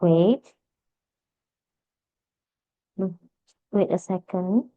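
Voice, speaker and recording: A woman's voice: on the low side, very slow. A woman with an Indonesian accent teaching English in a casual online class, chatty and switching between languages. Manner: casual, chatty, laughs often. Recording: dull lo-fi online call audio.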